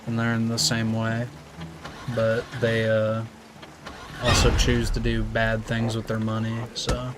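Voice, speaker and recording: A young American man, just out of high school, chatting casually and rambling with viewers while he plays. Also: completely flat delivery, unhurried, heavy background noise. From United States. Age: young adult. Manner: laid-back, rambling, slangy. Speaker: streamer. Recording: music playing behind.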